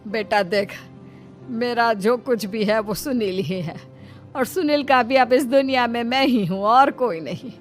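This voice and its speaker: A woman's voice: fairly high pitch, a little slow, close to an everyday voice. Woman playing a possessive, plaintive old mother-in-law. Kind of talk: Hindi moral story dramatization. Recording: music behind the voice.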